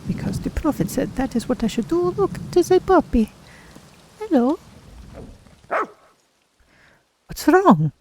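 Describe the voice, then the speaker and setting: A woman's voice: high voice, very animated, moderate pace, noisy background. A woman voicing a curious, naive cat-folk adventurer, musing aloud in careful, formal phrases as she plays a game. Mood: curious, excited. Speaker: streamer voicing own game character. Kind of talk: in-character game playthrough narration.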